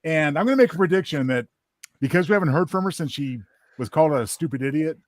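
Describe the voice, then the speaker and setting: A man in his fifties with a somewhat high voice chats casually as a co-host. The sound is dry and close. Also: lively intonation, quick pace, dry recording. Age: 50s. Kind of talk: casual chat commentary.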